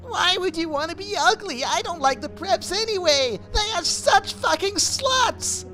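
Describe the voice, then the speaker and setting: A man's voice: very high-pitched, animated, unhurried, pushed and bright, pitched above the performer's own voice, heavily acted. A man voicing a doting, sulky teenage goth boyfriend in a melodramatic, petulant way for a fan fiction reading. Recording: music playing behind the voice.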